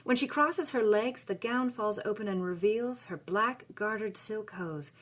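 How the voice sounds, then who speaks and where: A woman's voice: mid-range voice, measured pace, a bit bright. A woman voicing a passionate, sensual young film star, reading a journal with lingering, dramatic phrasing. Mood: awestruck, sensual.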